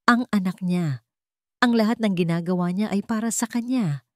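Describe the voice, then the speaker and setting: A woman's voice: medium pitch, moderate pace, dry recording, clean studio sound. A woman narrator reading a scripted romantic drama in a storytelling style.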